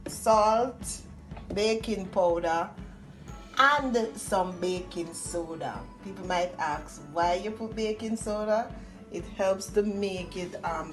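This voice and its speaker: A middle-aged Jamaican-accented woman walks through a recipe in a casual, slightly low voice. She explains as a home cook would, searching for a word here and there, over clearly audible music. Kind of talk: casual cooking demonstration. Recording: music playing behind the voice.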